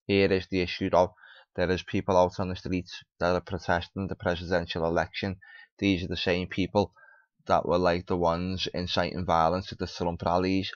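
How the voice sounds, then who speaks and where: A man's voice: deep voice, no echo. A man hosting a video commentary, reading an article aloud with off-the-cuff remarks and stumbling over words. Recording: dull lo-fi mic, dry.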